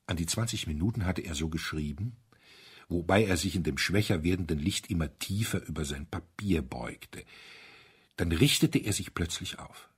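A man narrating a mystery story from a script in an expressive, dramatic storytelling manner. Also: sits low, normal speed, slightly rough. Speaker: audiobook narrator.

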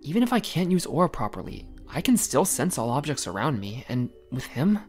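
A woman's voice: very deep voice, big pitch swings, brisk, darkish tone, soft background music. A woman voicing a skeptical, moody young jackal-like creature in a comic dub, blunt and grudging. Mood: uneasy, grudging. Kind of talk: fan comic dub voice acting.

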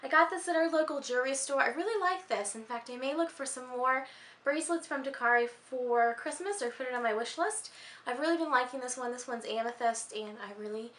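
High, bright American woman, slightly hoarse. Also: brisk. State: slightly hoarse, losing voice from a cold. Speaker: beauty and handbag vlogger. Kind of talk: casual, chatty vlog talk to camera. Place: small furnished room at home. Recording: roomy indoor echo.

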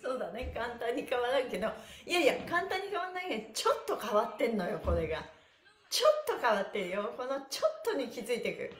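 Animated high-pitched woman leading exercise. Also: fast, slight background noise. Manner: animated, cheerleading, frequent laughing. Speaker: stretching instructor and livestream host. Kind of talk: live exercise class, counting aloud and chatting with viewers. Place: small bare-walled indoor room with wooden floor. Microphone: camera a metre or two away. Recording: echoey room.

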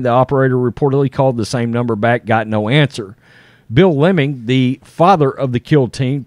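A middle-aged American man reads a news story aloud in a casual way, breaking in with his own remarks. His pitch is average, and the sound is dry with little room echo. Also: a little mellow. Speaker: online commentary show host. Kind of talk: reading a news article aloud with off-the-cuff commentary.

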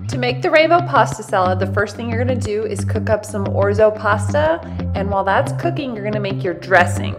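A woman in her thirties, a cooking channel host, chatting brightly through a recipe in a home kitchen. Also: mid-range voice, expressive, brisk, over music. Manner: bubbly, chatty, addresses viewers as "you guys". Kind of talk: recipe demonstration, voice-over and to camera.

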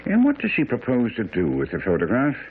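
An actor playing a shrewd, composed gentleman detective in a radio drama, clipped and formal as he questions. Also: mid-range voice, wildly swooping pitch, a little fast. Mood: brisk, composed. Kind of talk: 1970s radio drama acting. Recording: tinny, noisy old radio broadcast.

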